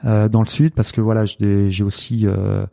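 A man's voice: fairly low pitch, little pitch movement, normal speed, gravelly, dark tone, dry recording, clean studio sound. A man with a Marseille accent, a sports coach talking in a casual interview, hesitant with many fillers and restarts and the odd joking aside.

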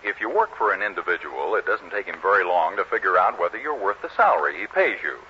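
A man's voice: deep voice, lively intonation, fast talker, sharp tone. An American man announcing and narrating from a script in 1940s radio style. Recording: muffled noisy 1940s radio recording.

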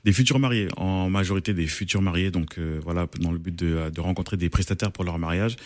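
A man's voice: low-pitched, fairly quick, darkish tone, dry recording. A man born in France, an event organizer giving a radio interview, speaking hesitantly with many fillers and restarts. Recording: dry radio studio.

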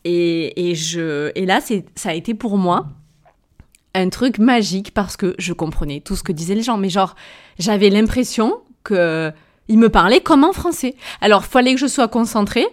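A woman's voice: medium pitch, animated, moderate pace. Chatty rambling woman, southern French accent. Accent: southern French, Avignon. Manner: chatty and rambling, full of hesitations, self-corrections and brief laughs; addresses the listener familiarly. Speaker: podcast host, entrepreneur. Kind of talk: casual personal storytelling monologue.

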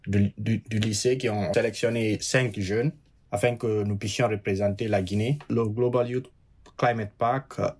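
Young man, twenties, from Guinea, earnest, halting, climate ambassador. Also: on the low side, steady tone, darkish tone. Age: 20s. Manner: earnest, halting, with fillers and repeated words. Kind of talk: interview testimony.

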